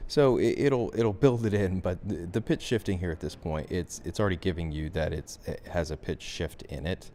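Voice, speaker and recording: A man giving a software tutorial as instructor, talking through the steps in an informal, conversational way. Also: sits low, moderate pace, hardly any pauses, a little hiss or hum.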